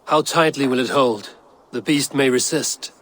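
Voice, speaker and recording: A man's voice: mid-range voice, measured pace, fairly bright tone. An actor playing a stern, calculating king of the gods in a fantasy game, grave and terse. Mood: cool, resolute. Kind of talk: cinematic fantasy game acting.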